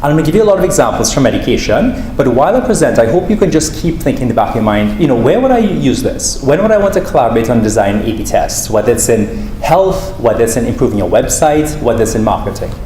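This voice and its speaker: A man with a Trinidadian accent gives an informal academic talk as a professor. He is conversational, with asides to his listeners, at a middle pitch. The room is echoey, with a faint layer of noise. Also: big pitch swings, fast talker, few pauses, dark tone. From Trinidad and Tobago. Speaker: professor giving a guest talk. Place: room with live audience. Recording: roomy echo, faint background noise.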